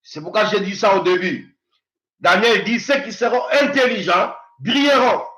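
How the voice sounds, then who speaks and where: High bright-voiced preacher man in his fifties. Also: normal speed, reverberant. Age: 50s. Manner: preaching with swings from loud exhortation to quiet prayer. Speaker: pastor. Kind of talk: sermon.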